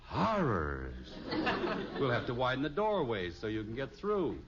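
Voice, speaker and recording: A man's voice: mid-range voice, wildly swooping pitch, measured pace. An actor playing a wry middle-aged American father in a radio sitcom, with dry, teasing delivery. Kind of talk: 1950s radio sitcom acting. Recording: muffled old radio recording, noisy audience.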